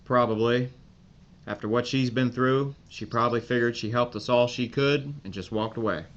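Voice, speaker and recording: An actor playing a hard, determined American army major giving clipped orders in a World War II audio drama. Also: male voice, medium pitch, fairly even intonation, moderate pace, muffled recording, slight background noise, natural voice. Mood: businesslike. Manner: commanding, clipped military radio orders.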